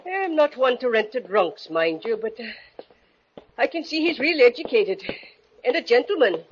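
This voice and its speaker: A woman's voice: fairly high pitch, very animated, measured pace. An actress playing a gossipy, fussy boarding-house landlady, chatty and confiding, in 1940s radio drama style. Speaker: actor. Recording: muffled, hissy vintage radio recording.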